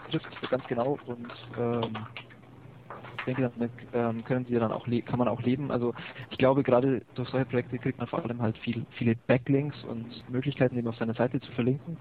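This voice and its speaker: A man's voice: mid-range voice, little pitch movement, fairly quick. Bavarian guy on a crappy call line, explaining stuff, lots of uh and um, tinny sound. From Bavaria, near Augsburg. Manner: explanatory, many fillers. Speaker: guest, web project organizer. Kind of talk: interview over an internet call. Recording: thin phone-like line with faint noise.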